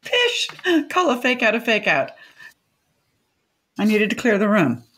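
A brazen older widow in a comic audio drama. Her voice is husky and middling in pitch. She is cranky and contrary, delivering everything with acid, theatrical relish. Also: huge pitch swings, measured pace, fairly bright tone, exaggerated voice. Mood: acerbic, dry. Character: brazen, cranky widowed grandmother, dining alone, defiant.

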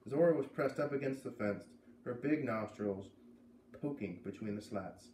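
A man's voice: medium pitch, moderate pace, darkish tone. A man in his thirties reads a story aloud in a narrating style, with casual asides. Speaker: storyteller reading a children's novel aloud. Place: living room by a fireplace. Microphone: camera a few metres away. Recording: roomy, distant camera mic with faint noise.

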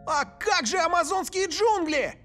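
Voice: very high-pitched, animated, unhurried, a bit bright, exaggerated voice. An actor playing a reckless, cocky young male soccer player hungry for adventure in a cartoon dub. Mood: excited, sarcastic. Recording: background music under the voice.

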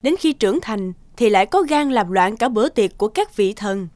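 A woman voicing an elderly fairy elder in a cartoon, wise and reproving, with a measured, authoritative manner. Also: mid-range voice, animated, darkish tone, dry recording, lightly acted. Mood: stern, approving. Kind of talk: animated fairy tale dubbing. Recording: music behind the voice.

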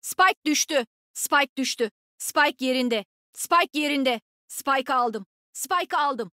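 A woman's voice: sits high, animated, slow pace, sharp tone, no echo, studio quality, full character voice. A woman playing a cocky, nimble young female agent in a shooter game, with a sassy, brash, taunting manner. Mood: brisk, alert. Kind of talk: video game combat voicelines.

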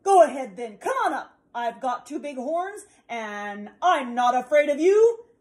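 A woman voicing a big, fearless male billy goat in a children's storybook reading, loud and defiant. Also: on the high side, unhurried, natural voice.

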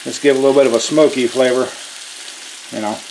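A man's voice: mid-range voice, steady tone, quick pace, rough recording. A man hosting a casual home cooking video, talking in a folksy, easygoing way as he works at a griddle in his kitchen. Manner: folksy, easygoing, talks while working. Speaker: cooking channel host. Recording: loud sizzling griddle with hiss.

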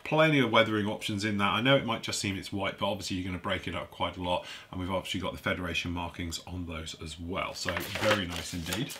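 A man's voice: deep voice, quick pace, hardly any pauses, pushed and bright. A man with a congested, stuffy voice, chatting casually as he reviews a model kit, thinking aloud while he handles the parts. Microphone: overhead camera on a workbench, voice off-screen.

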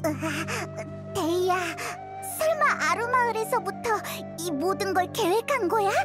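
A woman voicing a tiny, curious and excitable fairy companion in a video game, with a squeaky childlike voice. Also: a little slow. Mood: surprised, wondering. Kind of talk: video game voice acting, cute cartoonish. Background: background music.

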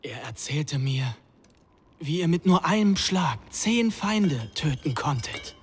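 An actor playing an eager, honor-bound young troll warrior in a game cinematic, with an earnest, deliberate delivery. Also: male voice, fairly high pitch, lively intonation, slightly rough, heavily acted. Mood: respectful, curious. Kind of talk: dramatic game cinematic acting.

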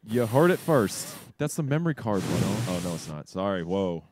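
A man's voice: low-pitched, sing-song, normal speed, background noise. A man co-hosting a live show, chatting casually as he plays a video game. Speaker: co-host playing the video game. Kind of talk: casual live gameplay chat.

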